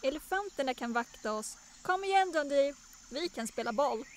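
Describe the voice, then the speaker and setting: Woman as a high-voiced, cheeky lion cub prince. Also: animated, slight background noise. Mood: playful. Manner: cheeky, eager. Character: playful, headstrong young male lion cub prince. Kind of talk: cartoon fan dub.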